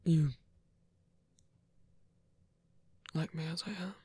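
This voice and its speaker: A performer playing a wounded male villain turned tender lover in an intimate ASMR romance roleplay, speaking in a breathy, hesitant, soft-spoken way. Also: mid-range voice, fairly even intonation, quick pace, no room sound. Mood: touched, bashful. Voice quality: breathy, whispery.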